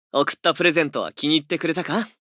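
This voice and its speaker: A man's voice: on the high side, bright, forceful tone, dry recording, studio quality, exaggerated voice. A man voicing a tender, devoted young sweetheart in a romance game, with an affectionate manner. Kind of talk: game character voice acting.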